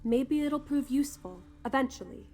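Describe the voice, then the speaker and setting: A young woman fighter in a video game. Her voice sits fairly high, with an earnest, eager and conversational delivery. Faint music plays underneath. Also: expressive, normal speed, mellow. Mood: impressed, hopeful. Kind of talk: scripted video game character dialogue.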